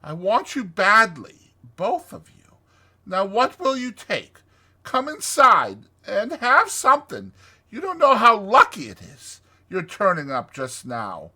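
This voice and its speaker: A man voicing a boastful, excitable toad in a dramatized storybook reading, loud and gushing. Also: extremely high pitch, slow, voice pushed up, heavily acted. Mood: excited, welcoming.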